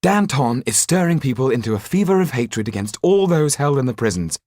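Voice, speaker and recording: Male narrator as earnest young storybook hero, lifted pitch, pressing, urgent, dry sound. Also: very animated, brisk, darkish tone, dry recording, natural voice. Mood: desperate.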